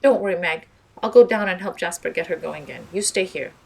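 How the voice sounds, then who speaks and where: A woman's voice: fairly low pitch, expressive, brisk, creaky, a little mellow, breathy edge, heavily acted, low-quality recording. Woman reading as a plain-spoken old-school sea captain. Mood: take-charge.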